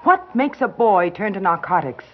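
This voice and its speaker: Wry, animated newspaperwoman. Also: medium pitch, normal speed. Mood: pressing. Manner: pointed, wry, pressing. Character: probing, cynical, persistent female newspaper reporter. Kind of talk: classic radio drama acting. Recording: dull vintage radio recording with music.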